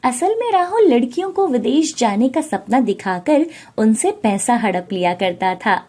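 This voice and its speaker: A woman reading scripted narration for an animated story. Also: a little high, unhurried. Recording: music bed behind the voice. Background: background music.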